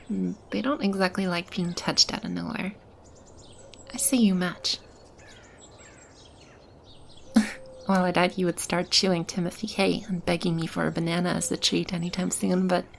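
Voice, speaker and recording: A woman playing a gentle, nurturing keeper of a magical animal shelter in an ASMR roleplay, speaking softly and soothingly to a child. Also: fairly low pitch, vocal fry, slight background noise. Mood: tender, amused.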